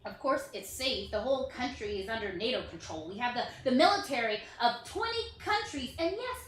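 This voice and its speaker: Woman playing a frank, high-voiced project worker. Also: expressive, close to an everyday voice. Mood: grave, urgent. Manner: halting, emphatic, no-nonsense. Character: brisk, frank, seasoned female aid project worker. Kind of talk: staged play reading. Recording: roomy, distant mic, faint noise.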